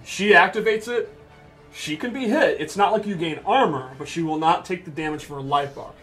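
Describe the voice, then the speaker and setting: A man's voice: high-pitched, a little fast, a bit bright, soft background music. A man presenting gameplay in casual, unscripted commentary, explaining things with enthusiasm and emphasis.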